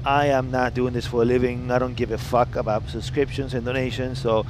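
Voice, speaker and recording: A man's voice: medium pitch, little pitch movement, fairly quick, noisy background. A man with an Italian accent, a native Italian speaker, chatting bluntly and with lots of swearing while live-streaming a flight simulator session. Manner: blunt, profane, reads chat aloud. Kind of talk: casual live-stream chat. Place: home flight simulator room.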